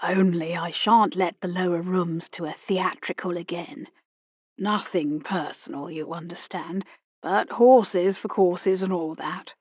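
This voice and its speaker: A woman voicing an old British army captain, brusque, with a clipped, slow and emphatic manner. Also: mid-range voice, no echo, lo-fi sound, natural voice. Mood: confiding.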